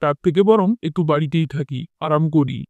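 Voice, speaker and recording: A man plays a stubborn, mother-devoted husband in a cartoon family drama. He has a high male voice and talks quickly. The recording is close and dry. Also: no room sound, close to an everyday voice. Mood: placating. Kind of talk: Bengali cartoon fairy-tale voice acting.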